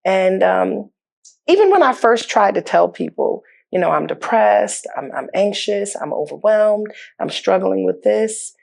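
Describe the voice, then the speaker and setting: Earnest woman with a gravelly edge. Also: mid-range voice, expressive, a little slow, darkish tone. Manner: earnest, repeats words as she speaks. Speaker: mayor, guest. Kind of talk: candid interview talk.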